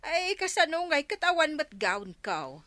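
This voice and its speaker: Older village auntie female actor: plaintive complainer, fairly high, slow, radio comedy. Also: sing-song, sharp tone, no room sound, full character voice. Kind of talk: Ilocano radio comedy drama acting.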